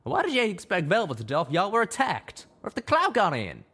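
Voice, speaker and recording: A man voicing a loud, hot-tempered young male pegasus who rants in a country drawl, pitched well above his own voice. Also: big pitch swings, a little slow, heavily acted. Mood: angry shouting. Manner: yelling, ranting.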